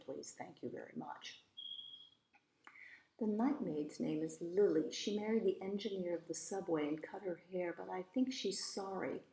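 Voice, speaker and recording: A woman's voice: fairly low pitch, vocal fry, dark tone. A woman reading as a precocious, self-assured little rich girl in a storybook, matter-of-fact and haughty with dramatic flourishes. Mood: imperious, then dramatic, confiding. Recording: roomy, echoey voice recording.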